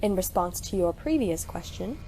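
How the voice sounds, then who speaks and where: A woman playing a robotic corporate orientation system in an audio drama, monotone and bureaucratic, with stilted procedural politeness. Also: lowish voice, moderate pace, slight background noise, rough recording. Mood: flatly polite. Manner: stilted, procedural, scripted announcements.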